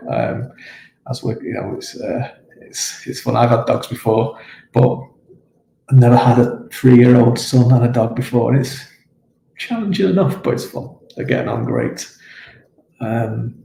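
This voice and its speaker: A man's voice: mid-range voice, creaky, dark tone, a little hiss or hum. A man, an author hosting a live stream from home, chatting casually and rambling, with many fillers as he answers viewer comments. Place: home, with his dog nearby. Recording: roomy echo with faint ambience.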